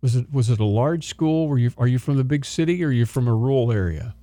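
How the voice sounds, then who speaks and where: A man's voice: mid-range voice, lively intonation, fast, mellow, no room sound. An upbeat, chatty man from Oklahoma, hosting a casual interview and rambling through his questions. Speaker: radio host.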